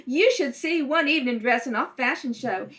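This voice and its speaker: A woman's voice: high-pitched, moderate pace, fairly bright tone, voice pushed down. A woman playing an effusive, genteel fashion guest in an old-time radio commercial for soap. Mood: gushing. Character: gushing, chirpy lady fashion guest in radio soap advertisement. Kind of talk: scripted 1930s radio commercial.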